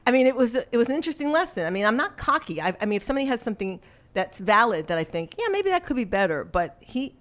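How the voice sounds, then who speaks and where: A woman's voice: fairly high pitch, big pitch swings, fast talker. A middle-aged woman, a songwriter guest, chatting casually in an interview and telling stories with frequent laughs and restarts.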